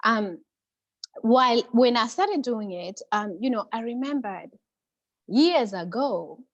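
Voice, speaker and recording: A woman's voice: on the high side, expressive, takes it slowly, muffled recording. A middle-aged woman, a guest on a video call interview, talking conversationally with many "you know" fillers.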